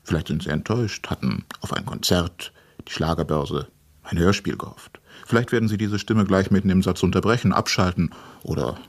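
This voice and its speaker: Deep, gravelly mysterious radio announcer. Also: male voice. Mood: knowing, provoking. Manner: deliberate, theatrical, pausing delivery. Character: mysterious radio announcer addressing the listener directly.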